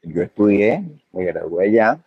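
An older hotel owner talks in a low, slightly husky voice, pausing and restarting as he answers. The sound is dry and dull, like a lo-fi recording. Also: lively intonation, no echo. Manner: hesitant, full of fillers and restarts. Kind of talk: conversational interview answers.

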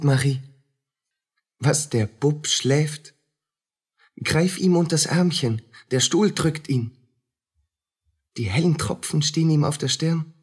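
An actor playing a poor, weary, suspicious common soldier in a radio drama, speaking in a plain, burdened way. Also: male voice, a little high, breathy edge.

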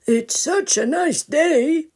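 Woman doing a kindly old grandpa bear, high-pitched. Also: slow pace, a little mellow. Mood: inviting.

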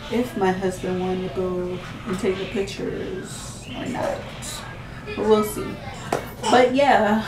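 Woman, 30s, faint Jamaican accent, casual vlog chat, very slow, roomy echo. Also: medium pitch. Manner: slow, relaxed and chatty, drawn-out fillers. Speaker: vlogger. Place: living room indoors, then a parked car.

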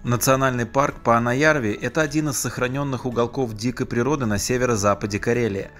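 A man's voice: medium pitch, steady tone, fast, a bit bright, faint music behind. A man reading a scripted voice-over narration for a travel video.

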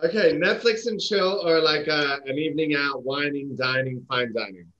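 A man's voice: a little high, normal speed, bright, forceful tone, highs cut off. A man in his late thirties co-hosting over a video call, campy and teasing. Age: 30s. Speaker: co-host. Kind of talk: casual interview on a video call.

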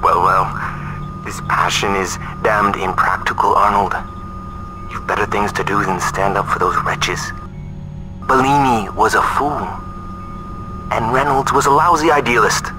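A man's voice: fairly low pitch, unhurried, pauses often, music in the background, close to an everyday voice, rough recording. A man playing a condescending, coldly menacing colonel, a sardonic villain in a video game.

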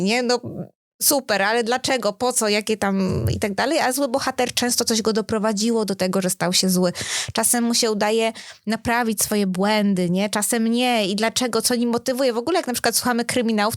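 A woman co-hosting a casual podcast, telling things in an animated way and asking rhetorical questions. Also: on the high side, brisk, no echo, studio quality. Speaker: podcast co-host. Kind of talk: casual chat.

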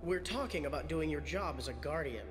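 Guy doing a young fantasy game guardian, kinda high voice, blunt n informal, noisy ambience behind. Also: lively intonation, brisk, lightly acted. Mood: wary. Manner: plain-spoken, informal. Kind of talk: dubbed fantasy video game dialogue.

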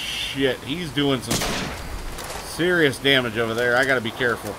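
A man streaming live gameplay, talking loud and excitably, with a booming voice, cursing at the game and answering chat. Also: normal speed, noisy background. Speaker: gaming streamer.

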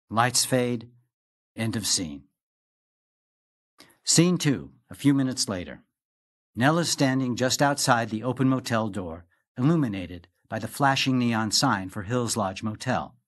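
Guy narrating a play script, reads out stage directions, average pitch.

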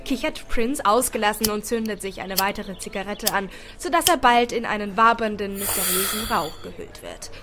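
A woman reading a first-person story aloud as an expressive audiobook narrator. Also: medium pitch, moderate pace, hardly any pauses. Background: background music.

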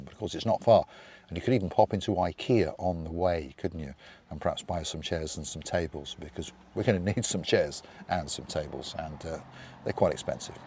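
A middle-aged man hosting a vlog in casual chat, giving cheerful shout-outs and calling viewers by playful mock noble titles. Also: low voice, dry recording. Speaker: vlog host.

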